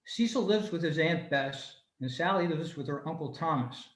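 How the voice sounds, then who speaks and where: Male club presenter, slightly high-pitched, reading prepared notes on a video call from an echoey room. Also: animated, normal speed. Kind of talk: scripted informational talk, read aloud. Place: room, remote video meeting.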